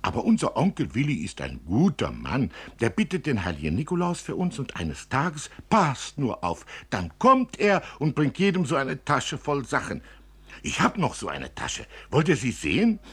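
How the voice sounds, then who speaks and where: A man's voice: mid-range voice, wildly swooping pitch, moderate pace, breathy edge, pitched above the performer's own voice, full character voice. A man voicing a proud, naive young girl in a storybook reading, speaking emphatically like a know-it-all. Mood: eager, insistent.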